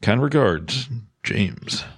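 A man's voice: medium pitch, lively intonation, very slow, slightly rough, no echo. A man co-hosting a podcast, reading prepared material aloud into a close microphone. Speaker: podcast co-host.

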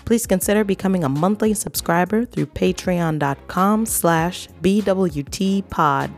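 A woman's voice: fairly low pitch, unhurried, faint music behind. A woman hosting a travel podcast, asking her guest questions in a friendly, conversational way. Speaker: podcast host. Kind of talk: podcast interview.

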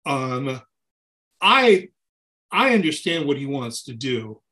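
A man's voice: mid-range voice, slow pace. A man in his sixties, a touring keyboard player, talking animatedly in a casual interview and often restarting his sentences. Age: 60s. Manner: animated, talks in long runs with restarts.

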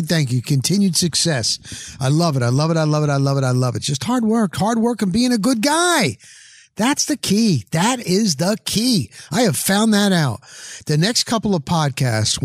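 Podcast host, male, middle-aged, American. Slightly higher-pitched, effusive and conversational, in a dry, close sound. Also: husky, dry recording. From New Jersey, United States. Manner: effusive, repeats phrases for emphasis. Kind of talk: casual podcast talk.

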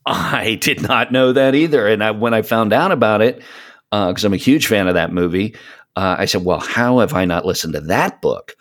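Male podcast guest, low-pitched voice, casual and rambling, feeling around for the right words. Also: moderate pace. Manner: rambling, hesitant searching for words. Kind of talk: casual podcast chat, recommending audio dramas.

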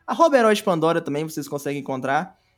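A young Brazilian man chatting casually as an interview guest, informal and halting, often repeating words. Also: on the high side, very animated, soft background music. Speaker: podcast guest, audio drama creator.

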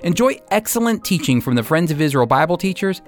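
A man teaching and commenting as a radio preacher and host, speaking emphatically and deliberately with weighty pauses. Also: a little high, big pitch swings, faint music behind.

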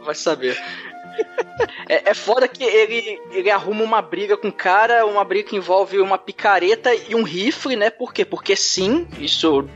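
A man's voice: high voice, moderate pace, fairly bright tone, muffled recording, soft background music. A man retelling a film's plot in a casual chat, with mock disbelief and frequent laughter. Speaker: podcast co-host. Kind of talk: casual comedic movie recap.

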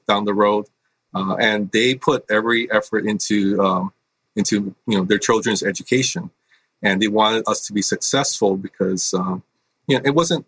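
A middle-aged man from Hawaii, a school principal, answering interview questions in an earnest, halting way with many fillers. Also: fairly low pitch, fairly bright tone, husky, dry recording, poor audio.

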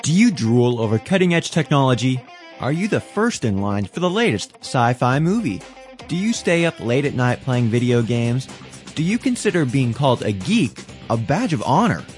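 A man's voice: mid-range voice, big pitch swings, normal speed. A man reading a scripted podcast promo with punchy announcer delivery. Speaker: podcast host. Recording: guitar music bed behind voice. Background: guitar music.

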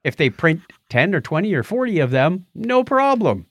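Lively, higher-pitched male podcast host. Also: moderate pace, slightly rough, no room sound, studio quality. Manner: jokey, informal asides. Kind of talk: casual podcast chat.

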